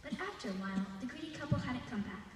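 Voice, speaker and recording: An eleven-year-old girl telling a folk tale live on stage in a theatrical, expressive way, with dramatic pauses. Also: sits high. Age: child, 11. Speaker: storyteller. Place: large indoor arena, live festival stage. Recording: distant, echoey arena with long reverb and noise.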